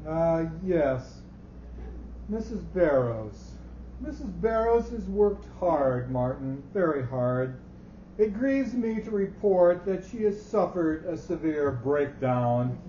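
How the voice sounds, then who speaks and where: A man playing an elderly, hesitant and fussy company boss, halting and formal, in a staged dramatic reading. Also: a little high, very animated, mellow. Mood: grave, awkward. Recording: roomy, muffled live recording with hiss.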